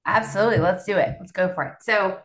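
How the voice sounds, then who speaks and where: A young adult woman from South Florida, a bilingual Spanish and English speaker, telling her story as a podcast guest in an animated, chatty way. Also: medium pitch, fast, reverberant. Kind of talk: casual conversational storytelling.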